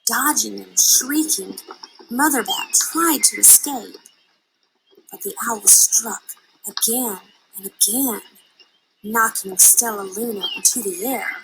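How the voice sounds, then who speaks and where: A woman reading a children's storybook aloud in an expressive, dramatic manner, drawing out her words. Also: mid-range voice, vocal fry, noisy background. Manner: unhurried, dramatic delivery with drawn-out words. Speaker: storybook reader.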